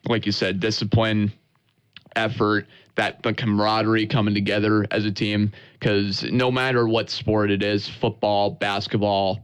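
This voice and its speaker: An American man with a fairly low, clear, resonant voice speaks as a guest in a radio interview. He is a sports broadcaster who talks earnestly and conversationally, often doubling back on words. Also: flat delivery, no echo. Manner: earnest, halting with repeated words.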